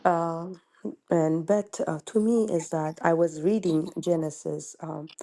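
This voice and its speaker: A woman from Ethiopia with an Ethiopian accent, calling in with a question and speaking haltingly, searching for words. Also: deep voice, steady tone, warm tone. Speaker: caller.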